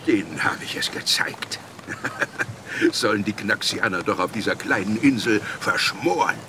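An actor playing a scheming, boastful rogue who mutters and cackles to himself in a children's audio drama. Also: male voice, on the low side, unhurried, close to an everyday voice. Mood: smug gloating. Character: scheming gloating male villain, a ship-stealing rogue. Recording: noisy sound-effect bed behind.

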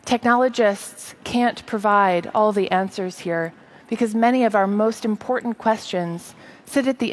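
A woman in her thirties presents on a big conference stage. Her voice sits at a medium pitch, and she delivers rehearsed lines earnestly, with careful articulation. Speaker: tech company presenter. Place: large auditorium stage.

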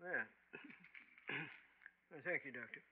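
An actor playing a deferential, plain-spoken college janitor with a terse, hesitant way of talking in a 1950s radio drama. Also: male voice, medium pitch, slow, breathy edge, narrow, tinny audio. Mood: awkwardly grateful. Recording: noisy old 1950s radio recording.

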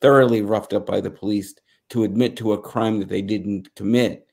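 A middle-aged American man giving news commentary on a video call, halting and searching for words. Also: fairly low pitch, steady tone, gravelly, a little mellow. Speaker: panelist. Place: small home office, indoors.

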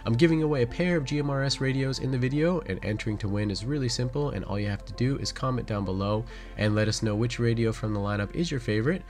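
A man's voice: medium pitch, quick pace, hardly any pauses, darkish tone, faint music behind. A man delivering a scripted product review as channel host, in a matter-of-fact, instructional way.

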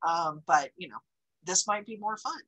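Woman, bright tone, filler-heavy chatty report, video call mic. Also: fairly low pitch, animated, normal speed, low-quality recording. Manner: chatty, many fillers and restarts. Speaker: library director.

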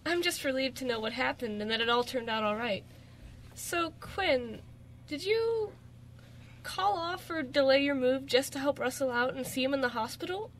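A woman's voice: sits high, moderate pace, fairly bright tone. A woman playing an affectionate, anxious girlfriend, emotive and tender, in an amateur radio drama. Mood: grateful, affectionate. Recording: noticeable background noise.

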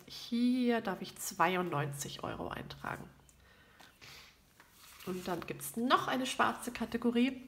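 A woman with a summer cold, gravelly. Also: medium pitch, fairly bright tone. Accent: southern German. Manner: chatty, thinking aloud over numbers. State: has a cold with a stuffy nose. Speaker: budgeting channel host. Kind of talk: casual talk while doing a monthly budget. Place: at a desk indoors, hands over a planner.

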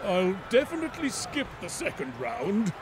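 An actor playing a jolly, bumbling daddy pig in a children's cartoon game, hearty and jovial. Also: male voice, high-pitched, huge pitch swings, moderate pace, very noisy. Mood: doubtful. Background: music.

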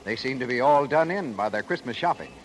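An American man announces a commercial in 1930s radio style. His pitch moves animatedly through the scripted copy. The old recording carries faint noise. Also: animated, a little fast, rough recording. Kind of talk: 1930s radio advertising narration.

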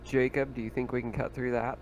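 A man's voice: medium pitch, little pitch movement, normal speed, slight background noise, low-quality recording. A man chatting casually as a player in a tabletop roleplaying game.

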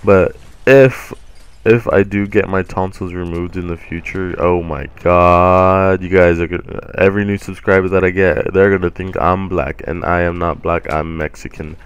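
A young man hosting a gaming channel, rambling casually over gameplay with a deep voice and many ums, his throat a little sore. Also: steady tone, normal speed, clear, resonant tone, muffled recording. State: sore throat from swollen tonsils.

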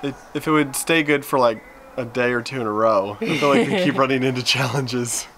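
A man in his thirties from Texas, chatting casually to a handheld camera outdoors on a farm, relaxed and reflective. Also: medium pitch, a little fast. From Texas, USA. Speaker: vlogger. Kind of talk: casual vlog talk to camera. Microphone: camera held at arm's length.

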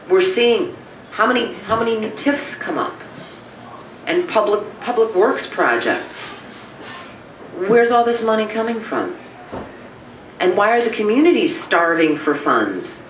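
A woman's voice: medium pitch, slight background noise. A woman making an insistent comment at a community meeting, throwing out rhetorical questions. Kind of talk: impassioned public comment. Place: meeting room. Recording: echoey room, distant mic.

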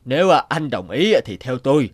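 A man's voice: medium pitch, big pitch swings, normal speed, close to an everyday voice. A helpful old village man in a cartoon folk tale, voiced by a man. He is mild in temper. He talks with small hesitations, offering advice plainly.

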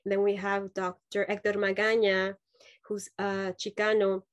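A woman's voice: medium pitch, completely flat delivery, normal speed. A woman in her thirties from Mexico, speaking as a nurse-midwife guest on a video call. She talks in an earnest, reflective way, with many fillers and restarts. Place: home room with dark sofa. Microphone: laptop video call.

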